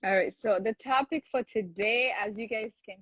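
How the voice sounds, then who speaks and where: A woman's voice: on the high side, normal speed, husky. A woman hosting a casual online live chat. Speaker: host of a live online talk.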